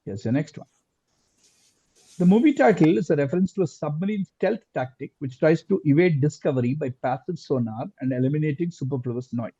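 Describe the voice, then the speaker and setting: A man hosting a quiz live, reading questions aloud deliberately and repeating answers and phrases, over lo-fi online call audio. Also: fairly high pitch, lively intonation, dark tone, breathy edge, dry recording. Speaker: quizmaster.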